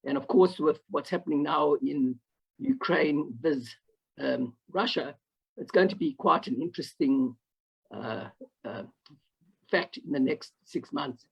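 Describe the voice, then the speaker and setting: A South African man giving a rambling, halting comment on a video call, full of fillers and restarts. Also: a little high, gravelly, husky. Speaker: audience member asking a question. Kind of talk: online Q&A comment. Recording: dull lo-fi video call audio.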